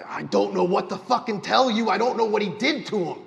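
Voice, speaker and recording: Frantic pleading vampire played by a man, high and strained, tabletop roleplay. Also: expressive, brisk, vocal fry, long reverb tail, exaggerated voice. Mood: panicked, defensive. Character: panicked, pleading male vampire hiding a secret. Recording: live convention show, distant at times.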